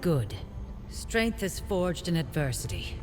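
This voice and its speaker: Driven game warrior: blunt, resolute, very varied intonation, ringing hall and music. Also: male voice, a little high, big pitch swings, slow pace. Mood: determined. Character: stern, driven warrior with a vengeful sense of destiny. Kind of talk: dramatic game cutscene acting. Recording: long hall reverb, music behind.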